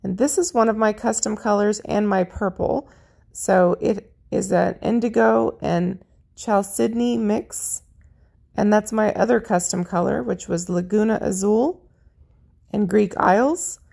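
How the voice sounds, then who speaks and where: A woman narrating her paint pouring video in a chatty, friendly way, her voice a little raspy. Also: medium pitch, measured pace, darkish tone. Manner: chatty, friendly, lists colors as she works. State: slightly raspy voice. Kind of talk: casual how-to narration over craft footage.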